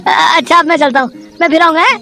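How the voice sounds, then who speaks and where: A woman voicing a naive, eager, excitable young male customer in a cartoon moral story, earnest and chatty. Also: extremely high pitch, fast talker, soft background music, full character voice. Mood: excited. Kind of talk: acted Hindi moral-story cartoon dialogue.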